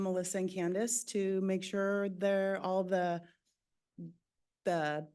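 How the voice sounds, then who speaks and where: A woman introducing herself at a board meeting, halting and searching for words. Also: on the low side, a little mellow, clean tone. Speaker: client services account manager.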